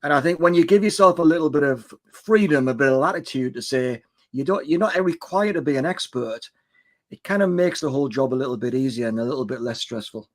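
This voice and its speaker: A man's voice: mid-range voice, fast. A man in his sixties, a livestream guest, talking reflectively and repeating words as he thinks. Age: 60s. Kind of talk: casual chat.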